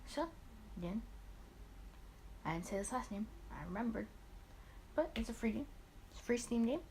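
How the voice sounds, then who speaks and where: A woman's voice: a little high, a little mellow. Casual rambling woman gamer, faint background noise. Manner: rambling asides, talking to herself. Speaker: host of a gaming let's play series. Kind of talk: casual let's play, chatting and reading visual novel dialogue aloud.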